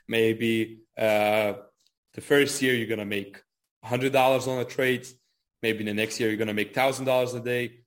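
Casual man in his early twenties. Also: medium pitch. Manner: repeats words, frequent "kinda" and "you know". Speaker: trader and trading educator being interviewed. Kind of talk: casual interview answers.

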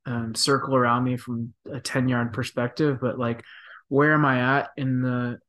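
A man in his thirties, a professional midfielder, talking softly and thoughtfully in a casual interview, with many fillers and restarts. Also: medium pitch, little pitch movement, moderate pace.

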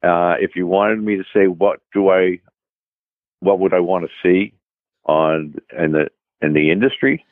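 A man's voice: low-pitched, measured pace, dry recording. A man with a Boston accent, a guest in an interview, speaking in a thoughtful, somewhat hesitant way. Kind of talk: casual interview. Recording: tinny phone line.

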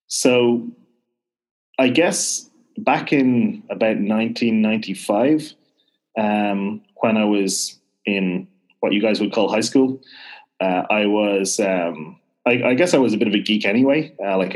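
A man's voice: on the low side, poor audio. A man with a mild Irish accent, chatting as a podcast guest, hesitant with many fillers and restarts. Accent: mild Irish (Dublin). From Ireland. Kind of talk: casual interview chat.

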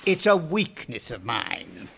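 A man's voice: medium pitch, big pitch swings, slow, gravelly, heavy background noise, full character voice. An actor playing a cold, curt, scornful old businessman and miser in a radio drama. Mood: scornful grumbling. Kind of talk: theatrical radio drama acting.

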